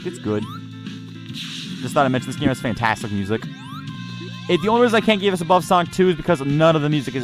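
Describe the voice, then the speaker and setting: A man giving casual gameplay commentary, rambling and often repeating or correcting himself mid-sentence. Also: medium pitch. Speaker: let's play narrator reviewing gameplay. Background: game music under the voice.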